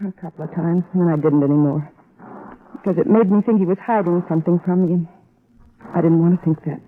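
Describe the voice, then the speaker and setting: Actress as worried wife in muffled radio drama. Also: female voice, on the low side, a little hiss or hum, close to an everyday voice. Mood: uneasy. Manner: hesitant, halting. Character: worried, embarrassed wife of a missing detective. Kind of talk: old-time radio drama acting. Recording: muffled old radio recording.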